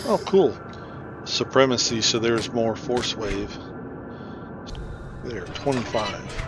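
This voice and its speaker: Loud game music runs behind a man with a mid-pitched voice. He gives casual commentary on his playthrough, muttering and thinking aloud to himself. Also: slow pace. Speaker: gamer doing a playthrough.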